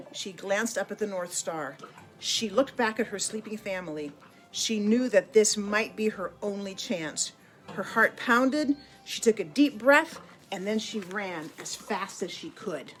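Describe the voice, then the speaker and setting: A woman narrating a children's picture book in an expressive, deliberate storytelling manner with dramatic pauses. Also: medium pitch, faint music behind, poor audio. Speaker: storybook reader.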